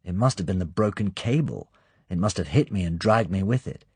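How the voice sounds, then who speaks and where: A weary, injured British agent, tired and understated, in a low husky voice. A man reads him close to the mic. The recording is dry. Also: lively intonation, fairly quick, darkish tone, no room sound, heavily acted. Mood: dazed.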